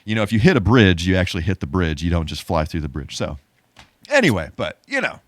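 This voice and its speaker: A man's voice: deep voice, very animated, fast, no room sound. A man hosting a podcast in a casual, playful style with a goofy bit of showmanship. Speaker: podcast host.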